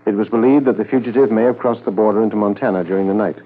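Formal lowish-voiced 1950s radio narrator. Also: male voice, steady tone, husky. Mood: solemn, factual. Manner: formal, measured. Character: solemn documentary-style police drama narrator. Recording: tinny 1950s radio recording.

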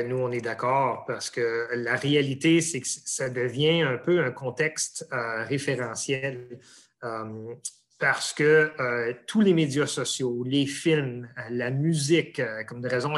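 A Canadian French man answers in a measured, hesitant way, pausing often between his thoughts. His voice sits at an ordinary middle pitch. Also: fairly bright tone. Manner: measured, hesitant, with many pauses. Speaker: interviewee. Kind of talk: interview answers.

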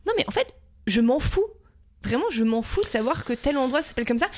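A woman talking casually as a podcast guest, animated and playfully self-mocking. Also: on the high side, a little fast, a little hiss or hum. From Paris, France. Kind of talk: casual comedic chat.

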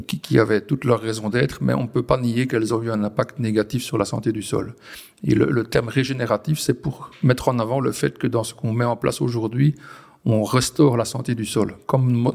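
Forties Belgian farmer from the Ardennes, gravelly voice, hesitant, restarts often. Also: male voice, medium pitch, darkish tone. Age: 40s. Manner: hesitant, many restarts and fillers. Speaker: farmer guest. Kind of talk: conversational interview. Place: on a farm.

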